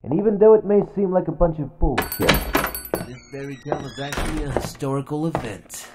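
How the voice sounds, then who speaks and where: A man's voice: fairly high pitch, brisk, heavy background noise, close to an everyday voice. A man voicing a cocky, sarcastic demon hunter and storyteller in an abridged anime parody. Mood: casual, wry. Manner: brash, sarcastic, swaggering. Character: cocky, irritable demon hunter, half demon, laid-back storyteller.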